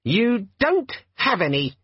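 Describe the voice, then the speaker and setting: High squeaky pocket demon, petulant know-it-all; dull, muffled audiobook sound. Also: male voice, very animated, slow pace, slightly rough, no echo, heavily acted. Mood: sulky. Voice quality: squeaky, cheerful, nagging. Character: squeaky, sulky pocket demon organizer gadget.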